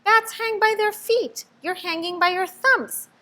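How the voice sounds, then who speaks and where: A woman voicing a loud, blunt male bat who insists he knows best, in a storybook reading. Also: very high voice, unhurried, voice pushed up, exaggerated voice. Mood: insistent.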